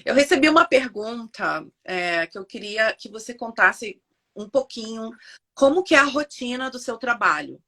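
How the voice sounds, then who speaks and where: A woman from Brazil hosts a casual interview with a bright, slightly high voice. She restarts her sentences and slips into quoting others in lively asides. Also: normal speed. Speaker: physiotherapist host. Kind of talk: conversational interview.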